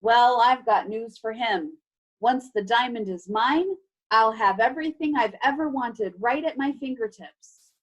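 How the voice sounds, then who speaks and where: A woman's voice: a little high, measured pace, low-quality recording. A woman voicing a menacing, boastful, greedy male villain in a storybook read-aloud. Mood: gloating. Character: sinister gloating male villain, growling and greedy.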